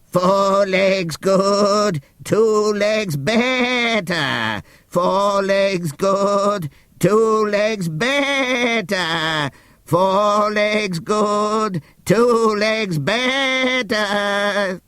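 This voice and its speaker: A mindless storybook sheep, bleats in a very high voice. The chant is loud and dragged out extremely slowly, with every word stretched into a bleat. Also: male voice, clean tone, no room sound, studio quality, heavily acted. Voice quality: bleating, drawn-out.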